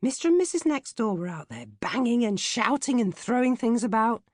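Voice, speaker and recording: A British woman reading comic first-person audiobook narration in a droll, expressive manner. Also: fairly high pitch, moderate pace, no echo, clean studio sound. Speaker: author reading own audiobook.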